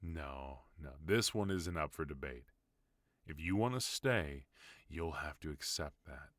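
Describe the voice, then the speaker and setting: A tender but dangerous mafia boss husband, voiced by a man in an ASMR roleplay, speaking at an extremely low pitch. He takes his time over every word, soft and intimate, close to a dry, dead-sounding microphone. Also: lively intonation, dry recording, full character voice. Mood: firm, protective. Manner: soft, intimate, unhurried delivery. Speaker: voice actor.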